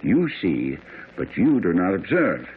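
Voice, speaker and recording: An actor playing a brilliant, self-assured, teasing gentleman detective in a radio drama, lecturing theatrically. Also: deep voice, wildly swooping pitch, unhurried, husky, full character voice. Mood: self-assured, amused. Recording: lo-fi, narrow vintage radio sound.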